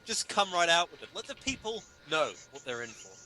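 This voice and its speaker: A man's voice: a little high, very animated, brisk, noisy background. A man giving a dramatic comedic reading of an article, with an emphatic, mock-indignant, sardonic delivery. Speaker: reader performing a humor article.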